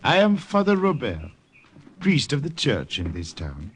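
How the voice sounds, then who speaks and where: A man playing a calm, wily, kindly priest, speaking courteously and unhurriedly in an old-time radio drama. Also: mid-range voice. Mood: courteous, pleading. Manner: measured, courteous, unruffled. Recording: noisy vintage radio recording.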